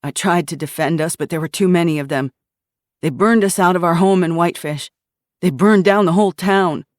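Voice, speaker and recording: A woman's voice: lowish voice, brisk, no room sound, lightly acted. Bill is a grave, grieving mechanic and widowed father, worn down by loss and flight. A woman narrator acts him out in a voice close to her own, only slightly lifted. The sound is dry.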